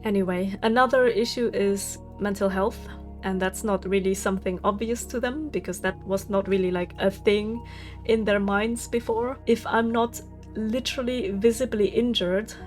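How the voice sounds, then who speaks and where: A woman's voice: medium pitch, fairly even intonation, moderate pace, faint music behind. A woman, venting candidly in a casual vlog monologue, swearing freely and laughing often. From Germany. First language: German. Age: 30s. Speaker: vlogger.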